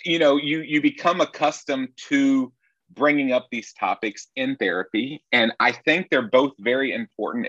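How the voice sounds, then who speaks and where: A man's voice: medium pitch, fairly bright tone, no echo, muffled recording. A man from Nashville, Tennessee, speaking as a sex therapist guest in an earnest, wry, reflective way. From Nashville, Tennessee, USA. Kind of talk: conversational interview answers.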